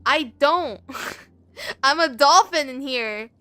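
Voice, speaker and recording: A woman's voice: sits high, slow pace, sharp tone, no echo. A woman game livestreamer chatting casually in commentary over her stream.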